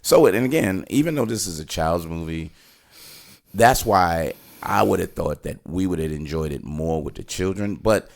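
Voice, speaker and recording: Casting: middle-aged male podcast host, low creaky voice, rambling and opinionated movie chat. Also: lively intonation, moderate pace. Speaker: podcast host giving a film review. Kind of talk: casual conversational movie review.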